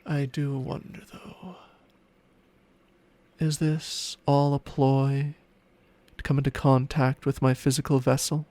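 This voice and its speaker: A man's voice: mid-range voice, little pitch movement, slow pace, long pauses, mellow, dry recording, lightly acted. A man playing a smug, possessive ancient dragon in human form, teasing and condescending in an intimate ASMR roleplay with formal, archaic phrasing. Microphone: close microphone, spatial ASMR recording.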